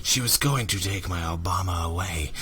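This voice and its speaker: A man hosting a comic fanfiction readalong, narrating theatrically and often dropping into breathy whispers. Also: low voice, moderate pace. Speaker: reader and host. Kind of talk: comic dramatic fanfiction readalong among friends. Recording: noisy home mic with clicks.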